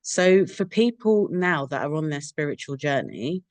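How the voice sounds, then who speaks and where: A woman's voice: low-pitched, big pitch swings, normal speed, darkish tone, no room sound. A woman hosting an interview podcast, who asks long, rambling questions with fillers. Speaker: podcast host.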